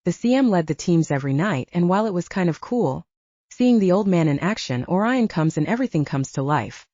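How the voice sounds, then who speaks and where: A woman's voice: fairly low pitch, expressive, brisk, warm tone, dry recording. A woman voicing a big, insecure teenage younger brother in an audiobook, earnest, blurting and awkward. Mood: earnest, stressed. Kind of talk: audiobook character dialogue. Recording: dull, slightly muffled audio.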